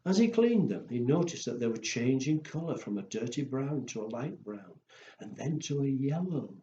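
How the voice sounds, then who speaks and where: A man's voice: medium pitch, big pitch swings, moderate pace, mellow. A man telling stories and rhymes to young children in a playful, simply phrased way. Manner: playful, simple phrasing with acted woofs and exclamations. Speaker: children's storyteller. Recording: echoey room, dull sound, faint noise.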